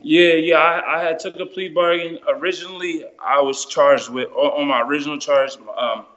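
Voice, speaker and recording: A man's voice: a little high, steady tone, normal speed, a bit bright, slight background noise. A man, chatting casually and explaining things in informal slang. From New Jersey, United States. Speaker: guest storyteller, amateur musician. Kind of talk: casual chat. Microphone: phone held close, video call. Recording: dull lo-fi video call.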